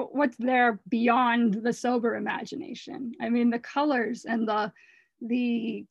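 Hoarse woman presenting her art. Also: a little high, no echo, muffled recording. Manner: earnest, rambling with restarts. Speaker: artist presenting her work. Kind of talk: casual talk over slides.

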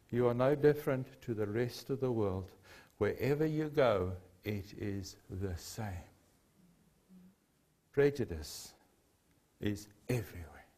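Older man, South African accent, Afrikaans first language, slow deliberate sermon, repeats phrases for emphasis. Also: mid-range voice, a little mellow. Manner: earnest, gesturing, repeats key phrases for emphasis. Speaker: pastor. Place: church hall with a lectern and a cross.

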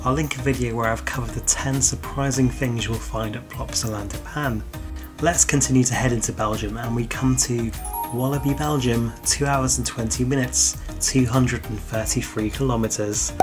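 A man's voice: medium pitch, fairly even intonation, normal speed. A man from the UK, a travel vlogger, giving an upbeat, chatty scripted voice-over about theme park road trips. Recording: music bed under the voice.

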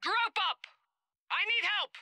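An actress voicing a tough, confident, protective female soldier in short, forceful video game combat lines. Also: very high-pitched, expressive, measured pace, no echo, studio quality, full character voice. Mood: alert, shouting. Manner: short, forceful battle callouts.

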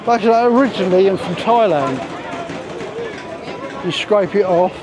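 Cockney vlogger, high voice, rambling street-tour patter over crowd chatter, camera mic. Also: male voice, lively intonation, moderate pace. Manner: chatty, thinking aloud as he strolls. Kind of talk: walking tour commentary. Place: outdoor resort streets. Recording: busy street crowd behind.

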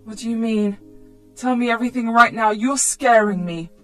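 A woman's voice: fairly high pitch, moderate pace, soft background music, natural voice. A woman narrator voicing an anxious, indignant young mother in a dramatic story, emotional and protesting. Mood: alarmed.

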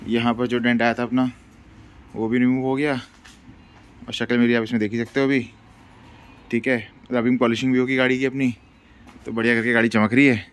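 A male travel vlogger chatting casually and playfully to his viewers, mixing English words into his talk. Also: mid-range voice.

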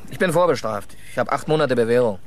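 A man acting a troubled, defensive young driver with a criminal record in a German radio crime play, speaking haltingly. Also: mid-range voice, lively intonation, slight background noise, lightly acted. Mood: bitter, confessing. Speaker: radio drama actor.

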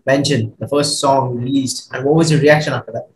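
A man's voice: mid-range voice, fairly even intonation, a little fast, echoey room, low-quality recording. A young man hosting a podcast on a video call, asking questions casually and encouraging his guest. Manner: encouraging, brief affirmations. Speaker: podcast host.

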